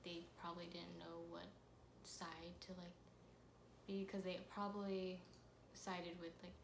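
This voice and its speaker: A woman's voice: on the low side, completely flat delivery, measured pace, vocal fry, a little hiss or hum. A woman in her twenties from California, a student answering interview questions in a hesitant way with many fillers. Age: 20s. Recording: echoey room.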